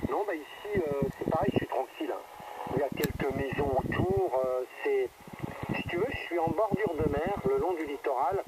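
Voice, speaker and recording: A man's voice: a little high, huge pitch swings, normal speed, creaky. A man, an amateur radio operator, chatting casually through a radio transmission. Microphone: radio microphone heard through a receiver. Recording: very noisy, band-limited, distant radio link.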